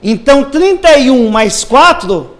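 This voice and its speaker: A man's voice: extremely high pitch, very animated, a little slow. A man working as a social security lawyer, methodically explaining pension rules step by step on a live TV program. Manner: methodical, lays out cases step by step.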